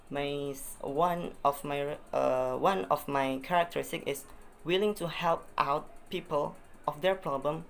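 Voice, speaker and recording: A man's voice: on the high side, creaky, a little hiss or hum. Hesitant young Indonesian-accented man. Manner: hesitant, repeats phrases, many uh fillers. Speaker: vlogger. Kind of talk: casual self-introduction to camera.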